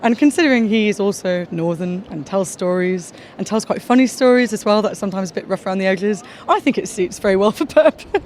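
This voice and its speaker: A woman's voice: mid-range voice, a little fast, few pauses, a little mellow, a little hiss or hum. A woman from around Liverpool, a spoken word comedian, chatting candidly with frequent laughs in a street interview outdoors. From around Liverpool, northern England.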